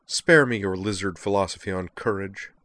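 A man's voice: deep voice, moderate pace, a bit bright, no echo. A man voicing a haughty, hot-tempered young woman who captains a fencing club in a narrated story, imperious and indignant. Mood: scornful. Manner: imperious, indignant, clipped and sputtering. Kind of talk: acted dialogue in a narrated story.

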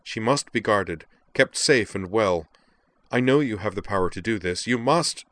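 A man's voice: lowish voice, expressive, normal speed, a bit bright, no room sound, pitched above the performer's own voice. Male narrator as little illusory mage, urgent, commanding, formal declamation, fantasy story, close dry sound. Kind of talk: fantasy audiobook character voice.

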